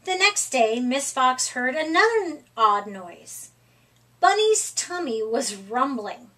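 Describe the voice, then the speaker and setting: A middle-aged woman reading a children's picture book aloud in an expressive, deliberate storytelling manner. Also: high-pitched. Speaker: storytime reader. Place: small room at home, indoors. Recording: slightly distant microphone.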